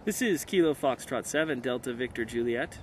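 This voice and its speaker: A man's voice: mid-range voice, expressive, a little fast, heavy background noise. A man speaking casually outdoors as an amateur radio operator, narrating and making calls with hesitant fillers. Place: outdoors on a hillside.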